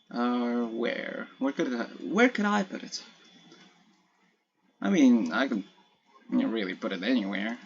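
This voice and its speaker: Young man, first language Ilocano, mid-pitched, unscripted gaming talk full of false starts and corrections, slightly muffled recording. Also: fairly bright tone, slightly rough. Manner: hesitant, many restarts and self-corrections, laughs at himself. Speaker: solo podcaster playing a block-building game. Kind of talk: casual rambling commentary while gaming.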